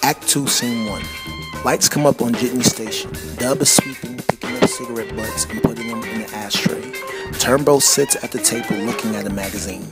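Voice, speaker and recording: A man's voice: mid-range voice, normal speed. A man giving scripted narration as the reader of stage directions. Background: loud plucked guitar music.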